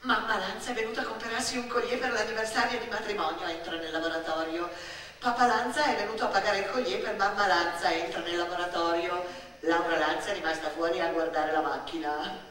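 A woman performing a theatrical narrative monologue, animated and emphatic, building through repetition and lists. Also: lowish voice, brisk, a little hiss or hum. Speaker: stage actress and storyteller. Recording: very echoey theatre-like space, distant mic.

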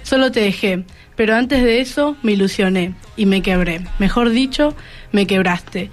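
A teenage girl's voice of medium pitch with a Rioplatense Argentine accent. She speaks as a student guest on the radio, over faint background music. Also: normal speed.

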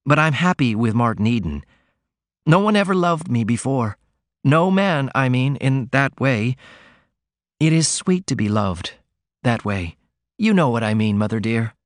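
A frank, innocent young woman, put on by a male reader, pours out her feelings in a raised, lively voice. She speaks earnestly and with feeling, like a storybook heroine. The sound is dry and close. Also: medium pitch, a little slow, no room sound, pitched above the performer's own voice. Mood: earnest confiding. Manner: confiding, emotional, earnest. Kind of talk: audiobook character reading.

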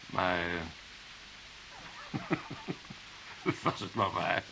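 An actor playing a young journalist in a Dutch radio drama, polite and hesitant, evasive with a wry, cheeky streak. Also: male voice, low-pitched, expressive, very slow, gravelly, sharp tone, exaggerated voice, poor audio. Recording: hissy old radio play recording.

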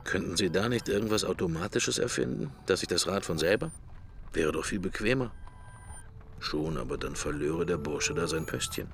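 A man's voice: deep voice, moderate pace, slight background noise. An actor playing a middle-aged man's drifting inner thoughts in a radio play, in murmured fragments. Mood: idle pondering. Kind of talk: radio play interior monologue.

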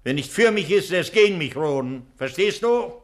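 Smug villainous doctor with a swooping voice. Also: male voice, high-pitched, expressive, brisk. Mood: threatening. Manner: theatrical, self-satisfied, commanding. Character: smug, menacing male doctor, a criminal mastermind. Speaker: villain in a radio crime play. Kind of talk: 1960s German radio drama acting.

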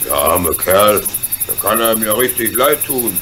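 A man playing a calm, fearless, good-natured engine driver in a German radio play, speaking reassuringly. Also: lowish voice, a little slow, a little hiss or hum.

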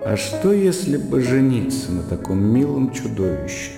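An actor in a radio drama playing an irritable, weary, sardonic gentleman with a dry manner. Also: medium pitch, very animated, normal speed, gravelly. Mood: wry musing. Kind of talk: radio drama acting, inner monologue and dialogue. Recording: echoey hall reverb, guitar music behind.

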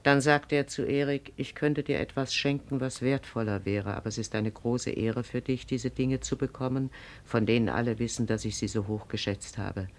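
An actress playing a proud, grave older farm mistress in a German radio play, speaking earnestly and deliberately, with quiet command. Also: female voice, a bass voice, fairly even intonation. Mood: earnest, confiding. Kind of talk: 1950s German radio drama acting.

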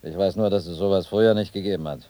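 A loyal ship's officer in a radio play, played by an actor. His low voice is dark and mellow, earnest with a grumbling edge, recorded very dry. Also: quick pace, dry recording, lightly acted. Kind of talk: radio drama acting.

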